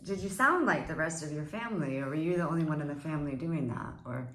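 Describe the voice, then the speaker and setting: A woman's voice: low voice, animated, fast talker, a bit bright. A woman interviewer asking questions in a hesitant, searching way with restarts. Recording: roomy echo.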